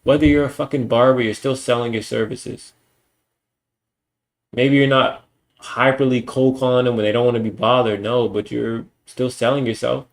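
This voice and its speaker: Streamer, young adult male, mid-pitched and gravelly, blunt and emphatic, swears a lot. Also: steady tone, normal speed. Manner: blunt, profane, emphatic ranting. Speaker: livestreamer. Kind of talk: casual stream monologue.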